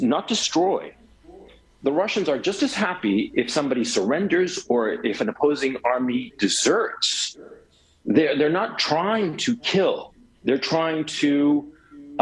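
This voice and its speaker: A man's voice: mid-range voice, low-quality recording. A man from Chile, an interview guest, speaking over a cell phone in an emphatic, ranting way with frequent restarts. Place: city in Eastern Europe.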